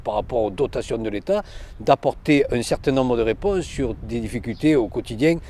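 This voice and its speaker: Halting, earnest man speaking French formally. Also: medium pitch, warm tone. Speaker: local elected official. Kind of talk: news interview soundbite.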